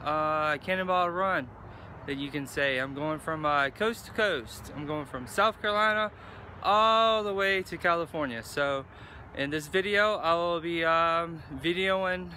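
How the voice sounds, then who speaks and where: A middle-aged American man talking casually and haltingly to camera as a vlogger, outdoors at arm's length from the mic. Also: on the high side, bright, forceful tone. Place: outdoors in an open parking lot. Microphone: phone or camera at arm's length. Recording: outdoor ambient noise, cars.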